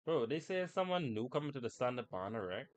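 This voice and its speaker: A man with a mid-pitched voice talks casually as he streams a game. His speech is slangy and informal, aimed at his chat, over slightly noisy live audio. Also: sing-song, quick pace. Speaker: game livestreamer. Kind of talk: casual gaming livestream chat.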